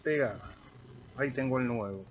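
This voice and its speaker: An Argentine man, a radio amateur slowly talking through an audio editor tutorial while clicking through menus. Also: mid-range voice, lo-fi sound. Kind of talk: screen-recorded software tutorial. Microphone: computer microphone.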